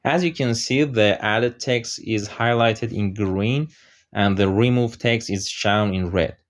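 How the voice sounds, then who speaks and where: Male tutorial narrator, Persian native, lowish voice, steady step-by-step delivery with "uh" fillers. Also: slow. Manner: steady explaining with frequent "uh" fillers. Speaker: software tutorial presenter. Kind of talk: screen-recorded software walkthrough.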